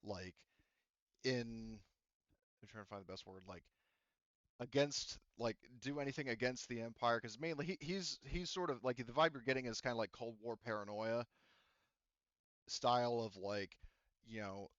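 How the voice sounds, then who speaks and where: A man's voice: medium pitch, normal speed, fairly bright tone, clean tone, dry recording, highs cut off. A man running a tabletop game as game master, relaying a character's offer in a rambling way with lots of fillers. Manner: rambling, many fillers like "you know" and "like".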